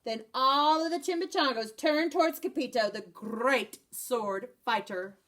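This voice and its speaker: Animated teacher reading a picture book. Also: female voice, sits high, measured pace. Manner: animated storyteller voices, drops to hushed whispers. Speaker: first grade teacher.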